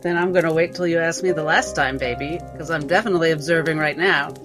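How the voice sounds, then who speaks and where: A woman's voice: low-pitched, quick pace. A woman playing a tabletop game, improvising her character's thoughts in the third person in a reflective, wry way. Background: background music.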